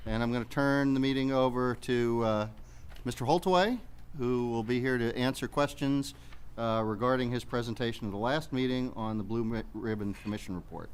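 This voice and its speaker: A man's voice: medium pitch, steady tone, brisk, clear, resonant tone. A man speaking as a mayor, running a public meeting and reading out procedural business. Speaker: mayor chairing a township committee meeting. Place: public meeting room with audience. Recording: faint background noise in meeting room.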